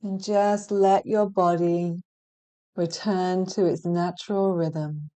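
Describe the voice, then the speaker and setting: A woman leading a guided relaxation meditation in a soothing, gentle and measured manner, leaving long pauses between phrases. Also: on the low side, fairly even intonation, clean tone. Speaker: hypnotherapy practitioner guiding meditation. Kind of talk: guided relaxation meditation, hypnotic scripted narration.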